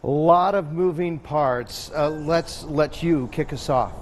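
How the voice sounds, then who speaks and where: A man anchoring a live evening newscast in a brisk anchor delivery, handing off to a reporter. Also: fairly high pitch, in a large hall, a little hiss or hum. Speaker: news anchor.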